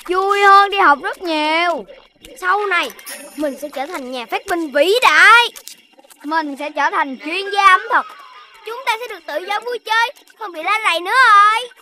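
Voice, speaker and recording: An excitable little cartoon animal kid. The voice is extremely high and bright, with childlike, exclamatory delivery. It sounds playful and boastful, made for a children's animation. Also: normal speed, heavily acted. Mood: gleeful.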